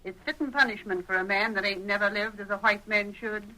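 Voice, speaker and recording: A woman acts a chatty frontier busybody, emphatic and opinionated. The voice is bright and forceful, with a folksy rural Western drawl, in 1940s radio style. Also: medium pitch, a little fast, slight background noise, close to an everyday voice, low-quality recording. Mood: gossipy, indignant. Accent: rural American Western. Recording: old radio drama recording.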